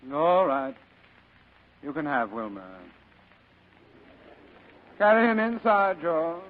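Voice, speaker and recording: An actor playing a courtly, scheming middle-aged criminal mastermind in 1940s radio style, speaking in florid, formally polite phrases. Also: male voice, fairly high pitch, big pitch swings, slow, slight background noise. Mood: pompous, measured. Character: courtly, scheming older male criminal mastermind, the Fat Man. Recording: muffled vintage radio recording.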